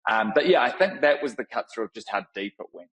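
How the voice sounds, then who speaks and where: A middle-aged man with a New Zealand accent, a company founder answering interview questions in a thoughtful, relaxed way, with frequent fillers and restarts. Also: mid-range voice, fast, rough recording. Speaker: founder and CEO, guest. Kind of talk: conversational interview.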